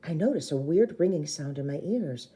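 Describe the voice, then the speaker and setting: Very mellow, lower-voiced woman reading aloud. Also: lively intonation, normal speed. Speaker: teacher reading a novel aloud to children. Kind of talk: expressive storybook read-aloud with brief explanations.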